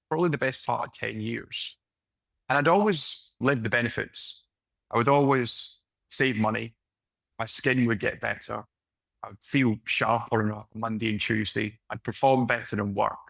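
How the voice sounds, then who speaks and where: A Scottish man in his late thirties, a podcast guest telling his story in a relaxed, conversational way over a video call. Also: mid-range voice, creaky, dry recording. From west of Scotland. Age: late 30s. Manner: relaxed, unhurried storytelling with fillers.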